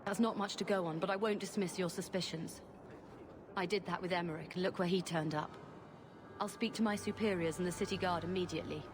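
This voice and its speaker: Actress for measured authority figure in a game scene; low-leaning voice, formal, noisy ambience. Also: female voice, fairly even intonation, close to an everyday voice. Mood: measured, regretful. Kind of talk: video game voice acting. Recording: clearly noisy background ambience.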